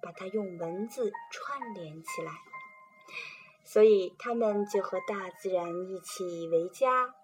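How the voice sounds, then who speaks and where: A woman presenting and reading children's poetry in a calm, gentle storytelling manner. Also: mid-range voice, slow, music in the background. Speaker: reader and presenter.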